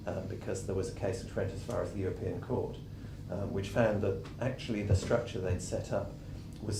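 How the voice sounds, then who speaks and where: Hoarse man presenting on tax. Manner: measured, explanatory, with frequent ums. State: losing his voice, clearing his throat. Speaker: tax adviser presenting a session. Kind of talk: conference presentation. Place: conference room. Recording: echoey room with rumbling noise.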